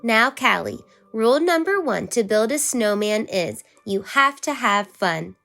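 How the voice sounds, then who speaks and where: Bossy little big sister, a woman reading her, confident and schoolteacherly, slow, lifted pitch. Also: lively intonation, gravelly, soft background music, full character voice. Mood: teaching. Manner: bright, instructive, confident. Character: bossy proud big-sister girl, teacherly.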